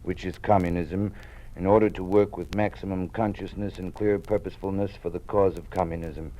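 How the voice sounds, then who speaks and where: An actor playing a grave, kindly older university dean, measured and formal, in 1950s radio drama style. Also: male voice, low-pitched, steady tone, dark tone, close to an everyday voice. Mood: formal, solemn. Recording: noisy old radio recording.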